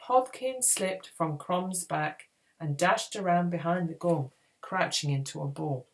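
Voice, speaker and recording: A woman reading a children's adventure book aloud in a dramatic, expressive storytelling style. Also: on the low side, unhurried, poor audio. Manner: expressive, acts out dialogue. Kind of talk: dramatic read-aloud narration.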